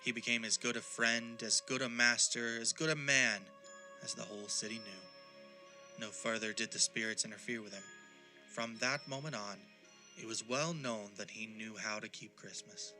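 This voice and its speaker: Male storybook narrator for audio play: theatrical, sharp and forceful, faint music underneath. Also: lowish voice, steady tone, normal speed, low-quality recording. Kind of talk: scripted dramatic storybook narration.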